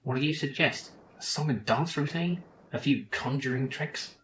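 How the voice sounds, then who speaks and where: A bitter little robot sidekick in a game, sarcastic and grumbling, with a man putting the voice on. The delivery is dry and sulky at a middle pitch. A faint hiss sits under the voice. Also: normal speed, a bit bright, natural voice, poor audio. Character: snarky sulking male robot sidekick. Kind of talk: reading game lines aloud in character.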